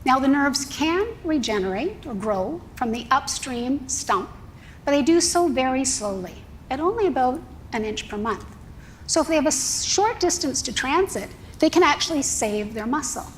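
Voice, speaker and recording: Woman scientist pitching research, high voice. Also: expressive, moderate pace, slight background noise, low-quality recording. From Canada. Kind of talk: presentation pitch.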